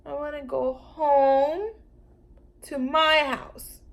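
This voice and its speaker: A woman's voice: high voice, little pitch movement, extremely slow, gravelly, voice pushed up, full character voice. A woman voicing a bossy, snippy little girl character from a children's storybook, speaking emphatically. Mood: pleading.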